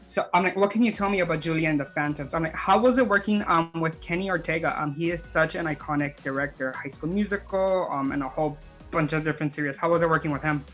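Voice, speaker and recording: A man's voice: fairly high pitch, quick pace, a bit bright, soft background music. A man hosting a casual interview, asking questions in an informal, hesitant way with loose, filler-heavy phrasing. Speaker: interviewer, host.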